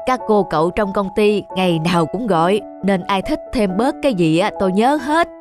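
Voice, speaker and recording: Woman as elderly cartoon street vendor, humble, affectionate, chatty, homely delivery, clear background music. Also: medium pitch, moderate pace, close to an everyday voice. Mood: chatty, cheerful. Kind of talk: cartoon voice acting. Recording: background music under dialogue.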